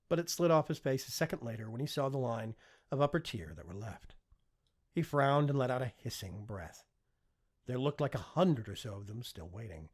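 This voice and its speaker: A man narrating a fiction audiobook with expressive, scripted storytelling delivery. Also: mid-range voice, husky.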